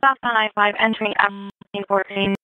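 A woman's voice: mid-range voice, level tone, moderate pace, bright, forceful tone, no echo. A woman working as a police radio dispatcher, repeating reports in clipped, procedural phrases over a scanner radio. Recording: noisy police scanner radio audio with clicks.

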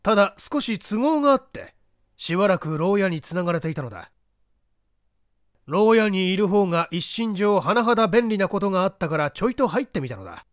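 A man voicing a boastful, nonchalant, smooth-talking gentleman thief in an audiobook. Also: high voice, very animated, a little fast, no echo, studio quality.

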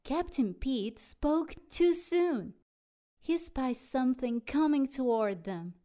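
A woman narrating a children's storybook aloud in an expressive, dramatic way. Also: sits high, slow pace. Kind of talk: animated children's read-aloud.

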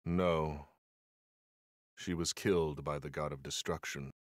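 Deep, frank demon dog. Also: male voice, steady tone, a little slow, no echo, faint music behind. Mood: grave. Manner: matter-of-fact, blunt. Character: deep-voiced, calm, frank male demon dog companion. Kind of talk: anime-style video game voice acting.

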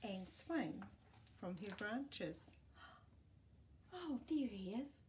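Expressive, unhurried woman reading to children. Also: medium pitch, slight background noise. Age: middle-aged. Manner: expressive, asks the young listener questions, gentle gasps. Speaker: storytime host. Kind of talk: reading a picture book aloud to children, with chatty asides. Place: small indoor room with books and toys.

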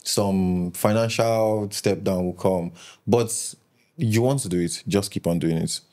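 A man in his twenties from Nigeria, a podcast guest chatting in a relaxed way, often laughing and repeating words as he thinks. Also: on the low side, unhurried. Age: 20s. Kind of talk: casual interview chat.

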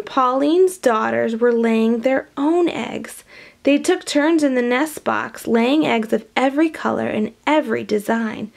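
A woman reading a storybook aloud to young children in an expressive, animated way, with hushed asides. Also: a little high, vocal fry. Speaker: storybook reader.

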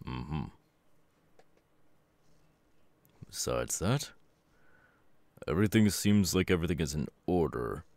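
A man playing a stern, weary middle-aged superhero father in an ASMR roleplay, with a deliberate, halting delivery. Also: very deep voice, lively intonation, a little mellow, no echo. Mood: measured concern. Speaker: voice actor.

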